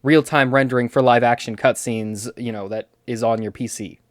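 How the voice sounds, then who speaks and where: A man's voice: mid-range voice, fairly even intonation, normal speed, no room sound, clean studio sound. A man hosting a video essay, delivering scripted commentary in a conversational way with wry asides. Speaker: host.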